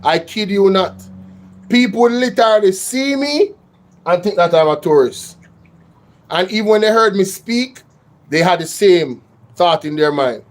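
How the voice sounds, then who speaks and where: High-voiced Jamaican-raised man hosting. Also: lively intonation, measured pace, long pauses. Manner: earnest, talks in a standard English rather than patois. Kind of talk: casual live-stream chat.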